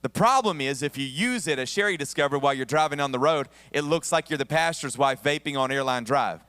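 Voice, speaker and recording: Southern pastor preaching with a worn voice. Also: male voice, fairly high pitch, a little fast, a bit bright, clean tone, no room sound, long reverb tail. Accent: Southern American. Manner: conversational preaching with asides and humor. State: voice somewhat worn, losing his voice. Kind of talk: sermon. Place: church sanctuary.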